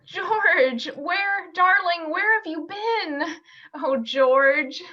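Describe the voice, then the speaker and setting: A woman's voice: extremely high pitch, extremely slow, heavily acted. A woman playing an anxious, tender young wife in an amateur radio play, recorded over a video call. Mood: relieved, excited. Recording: muffled video-call audio.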